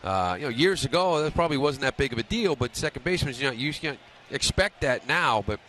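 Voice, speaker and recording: Fast, bright-voiced American male baseball analyst. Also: mid-range voice, expressive, slight background noise. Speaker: baseball radio color analyst. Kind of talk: unscripted live sports commentary.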